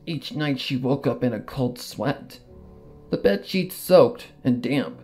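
Man, dramatic story narrator, first-person reading, medium pitch, soft guitar music behind. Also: takes it slowly, husky. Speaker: fan fiction narrator. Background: guitar and plucked string music.

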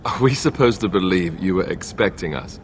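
An actor playing a wary, wisecracking young warrior in a fantasy game, with a dry, sardonic manner. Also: male voice, sits low, lively intonation, fairly quick, slight background noise. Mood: dryly wary. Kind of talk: fantasy video game acting.

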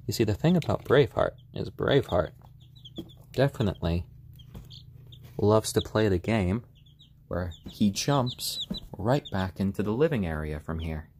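Slightly hoarse man with very lively pitch. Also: measured pace, pauses often. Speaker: live stream host. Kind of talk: casual chat on a live stream, with cooing to pets. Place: room in a home, indoors. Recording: clear background noise, bird sounds.

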